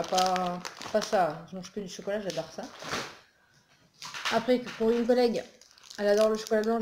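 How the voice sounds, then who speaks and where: A woman from northern France, chatting casually and rambling with lots of fillers, sounding a little groggy after just waking up early in the morning at home. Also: mid-range voice. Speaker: beauty and haul vlogger. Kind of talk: casual haul video chat. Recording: echoey room with faint noise.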